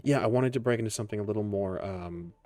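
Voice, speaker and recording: A man's voice: fairly low pitch, quick pace, warm tone, faint music behind, natural voice. A man voicing a sheepish, talkative tarantula graduate in a tabletop game, chatting hesitantly and apologetically.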